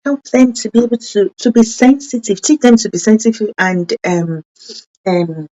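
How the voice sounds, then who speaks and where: A woman's voice: moderate pace, dark tone, dull audio. Earnest woman, Yoruba-speaking. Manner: earnest, self-correcting, with sudden rises in pitch for emphasis. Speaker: parenting channel host.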